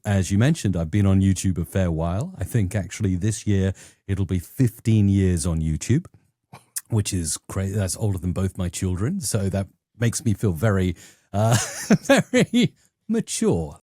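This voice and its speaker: A middle-aged man with a southern English accent, telling stories conversationally as an interview guest, often acting out quotes in an animated manner. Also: sits low, a little slow, no room sound, studio quality. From England. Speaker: YouTube educator and interview guest.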